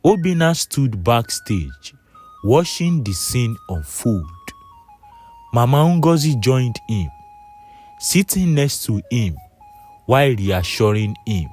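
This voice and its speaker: A man's voice: mid-range voice, very animated, takes it slowly, a little mellow, faint music behind. A man narrating a scripted folktale in storybook style.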